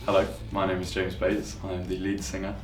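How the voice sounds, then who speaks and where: A man's voice: low-pitched, completely flat delivery, brisk. An eighteen-year-old man, telling stories casually in a documentary interview. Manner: casual, rambling storytelling. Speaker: band's lead singer and guitarist. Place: band practice room. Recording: echoey room, far from mic, music behind.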